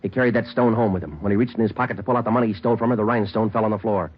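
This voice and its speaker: A relentless police sergeant in an old radio crime drama, with a clipped, matter-of-fact delivery. He talks fast in a lowish, husky voice. Faint music sits under a dull, lo-fi broadcast sound. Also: steady tone. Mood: steady. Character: male police sergeant, calm and relentless interrogator. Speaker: police detective. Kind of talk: 1940s radio acting.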